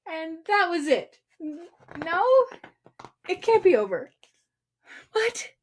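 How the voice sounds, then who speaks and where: High-pitched, playful woman reading a picture book. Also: very animated, a little hiss or hum. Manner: playful, exclaiming, dramatic emphasis and drawn-out words. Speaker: children's storyteller and host. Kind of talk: animated picture-book read-aloud with asides to young viewers. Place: recorded on a livestream.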